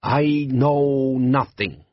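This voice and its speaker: A man voicing a bandit chief, hearty and shrewd, broken slow English, husky voice, slightly dull recording. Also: medium pitch, steady tone, no echo, pitched above the performer's own voice.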